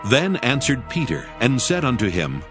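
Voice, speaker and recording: A man's voice: medium pitch, huge pitch swings, normal speed. A man narrating scripture in a scripted, dramatic storytelling style. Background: music.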